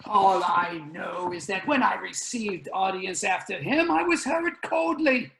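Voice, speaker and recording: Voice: medium pitch, a little slow, gravelly, fairly bright tone. An actor playing a grave, embittered elder spokesman in a dramatic reading, with declamatory stage delivery. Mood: indignant. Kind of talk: dramatic virtual play reading. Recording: video call reading, uneven sound.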